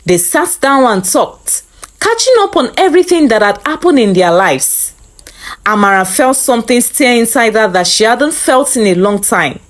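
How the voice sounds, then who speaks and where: A woman reading a scripted dramatic story as narrator. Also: fairly high pitch.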